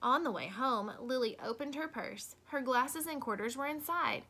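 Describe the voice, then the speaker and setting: A woman reading a children's picture book aloud like a teacher, with expressive, emphatic storytelling. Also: sits high, moderate pace, slight background noise.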